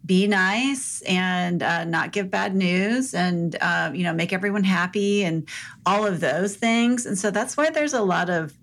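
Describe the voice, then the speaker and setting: A woman co-hosting a podcast, speaking in a soft voice in a direct, upbeat way with a few fillers. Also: medium pitch, measured pace. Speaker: podcast co-host. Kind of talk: casual podcast chat giving advice.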